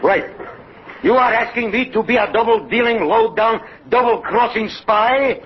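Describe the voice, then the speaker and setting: An actor playing a zany, excitable Russian oddball in 1940s radio comedy, with a Russian accent and emphatic, mangled, theatrical phrasing. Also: male voice, very high-pitched, moderate pace. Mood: mock indignant. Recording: muffled, noisy old radio broadcast, loud audience.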